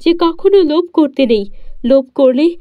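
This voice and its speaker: A woman voicing a benevolent young fairy who speaks in an earnest, gently admonishing way in a cartoon. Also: high-pitched, animated, moderate pace, no room sound, narrow, tinny audio.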